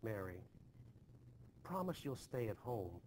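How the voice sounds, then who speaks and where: Guy reading a hot-tempered farmer in an old play, gruff and curt, slow, faint noise. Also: lowish voice, big pitch swings, a little mellow. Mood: angry, stern. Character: stern, hot-tempered farmer, master of the house.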